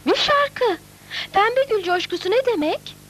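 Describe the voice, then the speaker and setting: A woman voicing a plucky, clever little girl heroine in a Turkish-dubbed children's cartoon, cheeky, animated and determined. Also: very high voice, a little slow, full character voice. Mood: eager.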